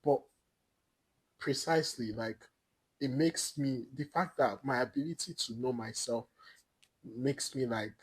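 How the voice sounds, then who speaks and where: A man's voice: medium pitch, unhurried, long pauses, breathy edge, low-quality recording. A young Nigerian man with an Igbo accent, a podcast guest telling stories in rambling casual chat and laughing often.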